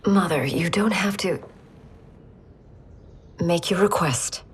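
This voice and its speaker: A lowish, husky young female ruler in a game cutscene. She is a warrior queen who sounds composed, dutiful and sincere. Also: slow pace, heavily acted. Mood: composed, supportive. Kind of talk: video game cutscene acting.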